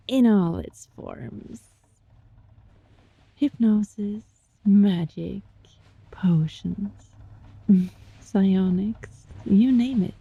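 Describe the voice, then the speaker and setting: A woman playing a gentle, sly young fox-spirit student in an intimate ASMR roleplay, speaking softly and breathily in a coaxing, teasing way. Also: mid-range voice, dry recording, muffled recording, heavily acted. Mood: sly explaining. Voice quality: soft, breathy.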